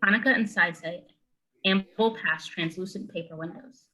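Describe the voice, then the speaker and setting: A woman's voice: on the low side, normal speed, sharp tone, soft background music, poor audio. A young woman reading scripted narration and stage directions. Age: young adult.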